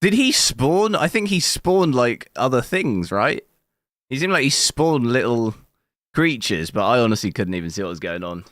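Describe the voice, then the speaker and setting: A man streaming a video game live, talking casually through the settings, with a hoarse voice he says he is losing. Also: medium pitch, big pitch swings, moderate pace, dry recording, clean studio sound. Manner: thinks aloud casually, bursts into loud dismayed cries. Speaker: gaming streamer. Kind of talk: live gameplay commentary. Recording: noticeable background noise.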